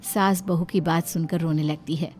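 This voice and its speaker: A woman narrating a cartoon family story in a plain, steady storytelling way. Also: sits low, moderate pace, a little hiss or hum.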